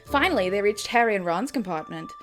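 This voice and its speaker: A woman's voice: medium pitch, expressive, fast talker. Female storyteller, dramatic but self-mocking, narrates amateur fiction and comments drily on it, light music underneath. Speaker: reader and host. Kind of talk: dramatic reading of own fan fiction with wry asides.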